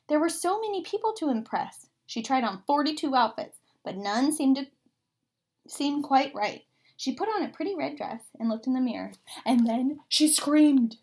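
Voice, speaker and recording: A woman with a fairly high voice reads a picture book to children. Her delivery is expressive, and she stretches and stresses key words as a teacher would. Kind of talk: expressive children's read-aloud.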